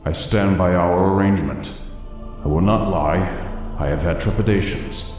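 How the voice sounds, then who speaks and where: Man acting a deep, dark-voiced grave doctor. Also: natural voice. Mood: solemn resolve. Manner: measured, formal, restrained. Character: grave, formal male doctor bound by a dark bargain. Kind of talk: fantasy audio drama acting. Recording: very echoey hall reverb. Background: music.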